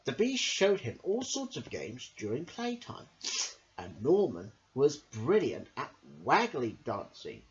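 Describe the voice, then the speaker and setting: A woman storyteller with a low voice that swings dramatically as she reads to children. She takes her time and speaks straight to her listeners, on a slightly dull recording. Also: takes it slowly. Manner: expressive, addresses young listeners directly. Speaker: storyteller and host. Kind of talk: animated children's storybook reading.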